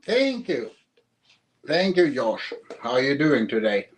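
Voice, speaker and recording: A man, a guest author in a podcast interview who talks haltingly and repeats words while he thinks. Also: medium pitch, very animated. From Sweden. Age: 50s.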